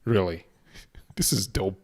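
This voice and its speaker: A young man from Cameroon reacting to a video in casual commentary, excitable and stammering through restarts. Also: low-pitched, takes it slowly.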